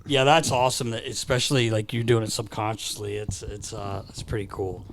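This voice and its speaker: A man's voice: on the low side, little pitch movement, brisk, gravelly, slight background noise. A man with a North American accent co-hosting a podcast in a studio, chatting loosely and peppering his talk with "like". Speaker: podcast co-host. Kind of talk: casual chat.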